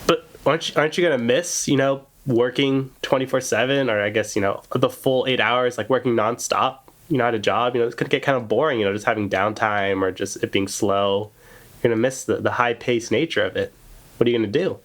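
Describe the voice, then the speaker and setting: A young man hosting a podcast in casual chat, getting over a cold, rambling with deadpan humor. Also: mid-range voice, a little fast. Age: young adult. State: recovering from a cold. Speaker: podcast host.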